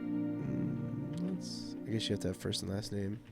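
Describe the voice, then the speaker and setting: A man's voice: fairly low pitch, a little slow, creaky. Nasal man streaming a game. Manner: relaxed, rambling, many fillers. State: getting over a cold. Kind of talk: casual live-stream gameplay commentary. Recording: voice over loud game music.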